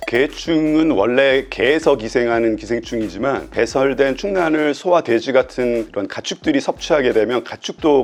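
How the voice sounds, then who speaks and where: A man, a pulmonology professor, explaining lung findings in a calm, methodical way, pausing now and then to think. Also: mid-range voice. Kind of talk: explanatory medical lecture.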